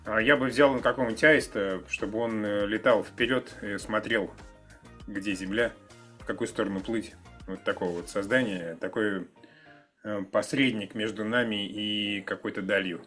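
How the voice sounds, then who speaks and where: A man with a husky voice chatting casually as a podcast co-host. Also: lowish voice, steady tone, normal speed, a bit bright, soft background music. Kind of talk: casual chat.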